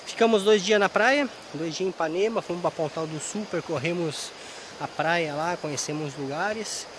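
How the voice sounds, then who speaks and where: A man, hoarse from a cold, chatting casually to viewers in a travel vlog recorded outdoors at a riverside campsite on a camera held at arm's length. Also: on the high side, moderate pace, background noise. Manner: chatty, addresses viewers directly. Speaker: vlogger. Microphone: action camera held at arm's length.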